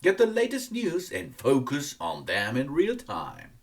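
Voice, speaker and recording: A man who is not a native English speaker gives a casual product review in an enthusiastic but halting way, repeating words. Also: a little high, wildly swooping pitch. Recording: distant microphone.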